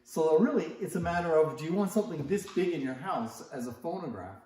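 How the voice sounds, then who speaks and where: A man's voice: on the high side, animated, brisk, warm tone. A man giving a casual, unscripted show-and-tell in a conversational way, musing aloud as he presents antiques. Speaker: presenter of antique phonograph demonstrations. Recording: very echoey, reverberant room.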